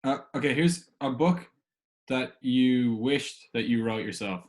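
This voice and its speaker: Man hosting, medium-pitched, casual. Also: unhurried. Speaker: podcast host. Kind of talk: casual conversational interviewing.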